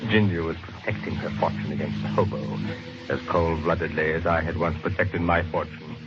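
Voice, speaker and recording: An actor playing a wealthy, guilt-ridden murderer in an old radio crime drama, speaking in a formal, measured, terse way. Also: male voice, low-pitched, steady tone, over loud music, natural voice. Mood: grim narration. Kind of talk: mid-century American radio crime drama acting, first-person narration. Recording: dull, noisy old radio recording.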